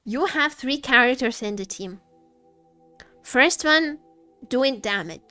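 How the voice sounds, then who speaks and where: High-voiced woman streamer chatting. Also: expressive, bright, forceful tone, dry recording. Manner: halting, repeats phrases, jokey. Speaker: livestreamer, gaming content creator. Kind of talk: casual live gaming commentary, chatting with viewers. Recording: faint music behind the voice. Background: faint music.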